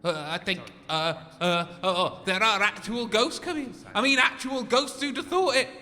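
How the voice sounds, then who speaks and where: A man's voice: sits high, pushed and bright. A man playing a theatrical, dodgy ghost-tour medium in a comedy audio drama, portentous and easily flustered. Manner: melodramatic, portentous, drawn-out. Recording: long hall reverb.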